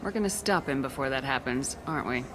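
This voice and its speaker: An actress playing a direct, controlled military commander in a sci-fi video game, with a probing, firm manner. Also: female voice, a bass voice, animated, fast. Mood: businesslike. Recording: clear background noise, vehicle ambience.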